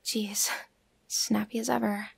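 A woman playing a snarky, level-headed vampire friend in an audio roleplay, with a raspy, creaky voice. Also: mid-range voice, level tone, takes it slowly, dry recording, heavily acted.

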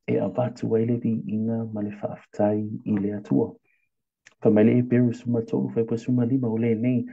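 A Samoan-accented man preaches in a very dark, husky voice, reading scripture in a slow, measured way. The recording sounds dull and lo-fi. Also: medium pitch. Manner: measured, reads scripture slowly and deliberately. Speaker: pastor. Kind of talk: bilingual devotional sermon.

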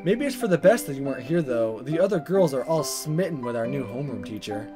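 Guy reading as chill sensible high school boy, placating, visual novel, music behind. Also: big pitch swings, fast talker, close to an everyday voice. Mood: calm, reluctant. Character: mild, level-headed teenage schoolboy protagonist.

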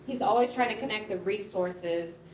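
A woman's voice: mid-range voice, moderate pace. A young adult woman giving an informal live presentation in a conversational, explanatory way. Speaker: presenter and artist on a project team. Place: large concrete rehearsal hall. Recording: echoey hall, distant, faint background noise.

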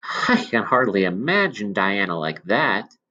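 A man's voice: medium pitch, very animated, takes it slowly, a bit bright, dull audio, pitched above the performer's own voice, full character voice. A man voicing an eager, naive young man in love, earnest and a little hesitant. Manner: earnest, hesitant, breathless delight.

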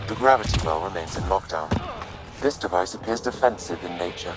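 A calm game AI companion, played by a man, with a very low voice. It reports in formal, exact phrases and stays detached, with music clearly behind it. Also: fairly quick, lightly acted. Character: calm, analytical male artificial intelligence companion. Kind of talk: game voice acting, informational reporting.

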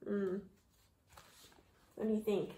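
A young woman reading a children's story aloud expressively, pausing to put questions to her young listeners. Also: mid-range voice, slight background noise. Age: young adult. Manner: animated, questioning, addressing children. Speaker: kindergarten read-aloud reader. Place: small living room, indoors. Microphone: camera a short distance away. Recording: roomy home recording.

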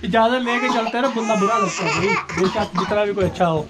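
A man's voice: sits high, fast, over loud music. A man with a Pakistani accent casually narrating a travel vlog and chatting with locals. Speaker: travel vlogger. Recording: clear background noise, handheld outdoor vlog.

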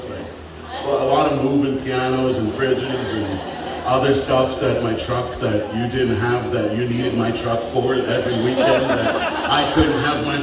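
A man in his thirties giving a jokey, swearing wedding toast into a handheld mic in a big tent, now and then getting choked up. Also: medium pitch. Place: large open-sided wedding tent. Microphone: handheld mic over speakers, filmed by a far phone. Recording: echoey PA sound from far off, noisy.

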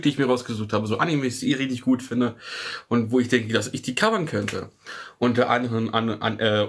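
A young man vlogging from a small room at home, his voice sitting at an ordinary middle pitch. He rambles loosely, doubling back and restarting his sentences as he explains his plans to viewers. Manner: rambling, many restarts and fillers. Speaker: music channel host. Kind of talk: casual vlog talk to camera. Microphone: studio mic off camera.

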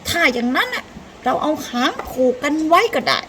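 Woman doing a sly, high-pitched cocky fox. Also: fairly quick, background noise. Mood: persuasive. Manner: teasing, persuasive, animated. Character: sly, cocky fox who scoffs and persuades.

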